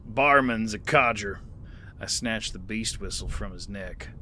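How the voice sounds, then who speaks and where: A weary frontier gunslinger telling his own story. His slow, bright, forceful voice is dry and laconic, with faint noise under it. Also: lowish voice. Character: weary male gunslinger narrating his tale. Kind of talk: first-person audio drama narration.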